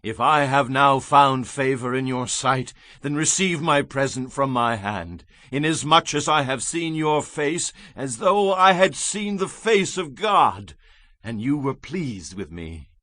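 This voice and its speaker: An actor playing a humble, pleading patriarch in a dramatized scripture reading, earnest and deferential in manner. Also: male voice, medium pitch, lively intonation, unhurried, sharp tone, dry recording. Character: Jacob, humble pleading patriarch addressing his brother.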